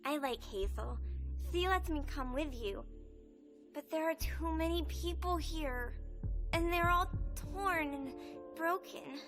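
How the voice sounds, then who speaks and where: A woman's voice: high-pitched, slow pace, fairly bright tone. A woman voicing an anxious, sensitive little vampire girl in a video game, in a childlike, earnest manner. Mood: anxious, pleading. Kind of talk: video game cutscene voice acting. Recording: music under the voice.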